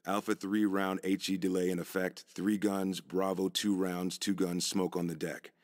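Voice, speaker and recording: A man playing a steady, businesslike military radio operator in a video game, giving clipped procedural calls. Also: deep voice, normal speed, no room sound, studio quality, close to an everyday voice. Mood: businesslike, focused. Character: steady, businesslike male US military fire controller.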